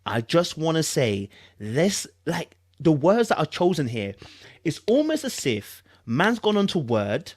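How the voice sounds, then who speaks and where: A man's voice: on the high side, big pitch swings, a little slow, no echo, clean studio sound. A man with a London accent hosting casual online commentary, reading aloud and reacting in informal, slangy speech with emphatic repetition. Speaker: YouTube commentary host.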